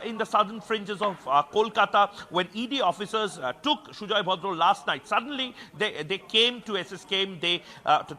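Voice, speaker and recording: Man, high-pitched bright voice, halting emphatic live news reporting. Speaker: senior editor reporting live from newsroom. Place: regional television newsroom.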